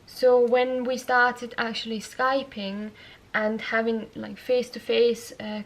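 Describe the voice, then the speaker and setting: An Austrian woman with a Yorkshire-tinged English accent, answering viewer questions in a casual vlog, talking thoughtfully with fillers and soft laughs. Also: fairly high pitch. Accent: Yorkshire-tinged English picked up as an Austrian speaker. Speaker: knitting vlogger.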